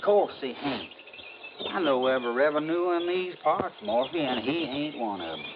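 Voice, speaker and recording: A man's voice: on the high side, sing-song, moderate pace. An actor playing a folksy backwoods man with a rural Southern American drawl in a 1950s radio drama. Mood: insistent. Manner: folksy drawl with rustic grammar. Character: backwoods moonshine-country man, folksy, protective, sweet on a widow. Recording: dull, noisy vintage radio recording.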